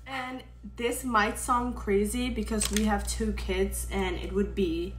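Slow-talking chatty Surinamese woman in her twenties. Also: medium pitch, steady tone, roomy sound, slight background noise. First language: Dutch. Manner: chatty, filler-heavy, doing makeup while talking. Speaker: vlogger. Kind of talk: casual get-ready-with-me chat. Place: bedroom, indoors. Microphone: webcam framing at desk.

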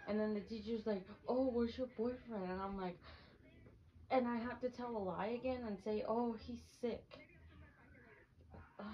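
A young woman playing a teasing, affectionate girlfriend in a casual, chatty ASMR roleplay. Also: fairly high pitch. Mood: coaxing, nagging. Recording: dull lo-fi mic, clear background noise.

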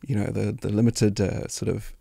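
A man's voice: on the low side, little pitch movement, normal speed, creaky, warm tone, breathy edge, no room sound. A South African man in his forties, a musician guest, chatting casually and reflectively into a close studio microphone. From South Africa, grew up in Cape Town. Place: small indoor podcast studio. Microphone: close studio microphone on arm.

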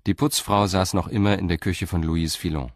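Deep-voiced male narrator. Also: fairly even intonation, a little fast, background noise. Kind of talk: scripted narration.

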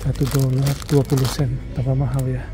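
A man's voice: medium pitch, little pitch movement, moderate pace, noisy background. A man from Indonesia talking casually to viewers while filming a shopping trip, pointing out prices as he walks. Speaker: vlogger. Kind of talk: casual shopping vlog narration. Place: shops and street outdoors.

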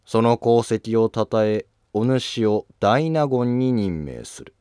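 Emperor for historical drama male performer, formal and commanding, lowish voice, slow delivery. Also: animated, warm tone, clean tone, no room sound, studio quality. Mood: regal, commanding. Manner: formal, authoritative. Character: stately, commanding emperor rewarding his subjects.

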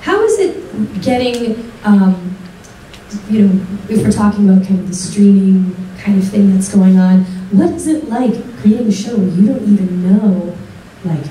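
Woman, panel moderator, mellow voice, hesitant questions, very echoey hall, distant mic. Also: mid-range voice, a little hiss or hum. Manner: measured, hesitant, with fillers. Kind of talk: interview questions. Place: large convention hall. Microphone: table mic on a stand.

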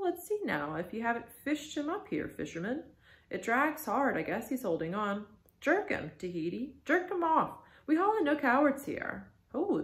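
A woman voicing a crazed, pitiful young cabin boy who babbles excitedly in riddles. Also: sits high, pitched above the performer's own voice, heavily acted. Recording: echoey room.

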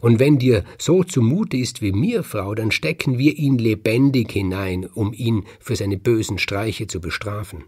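A man's voice: fairly low pitch, a little slow, hardly any pauses, a little mellow, slightly rough, voice pushed up. A man voicing an impatient, vengeful farmer in a folk tale, grumbling and emphatic. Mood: furious.

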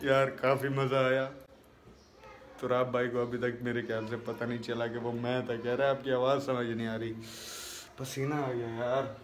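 A man's voice: medium pitch, flat delivery, normal speed, roomy sound. A young man with a Pakistani accent chatting casually and teasingly as a vlogger, holding his phone at arm's length. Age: young adult. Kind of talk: casual vlog chat. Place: room at home. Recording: noisy handheld phone recording.

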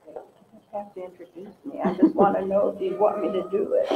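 A woman acting as timekeeper at a community forum, making slow, joking asides off mic in a large hall. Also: mid-range voice, little pitch movement, vocal fry. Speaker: event timekeeper. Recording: off-mic in a hall, dull, hiss.

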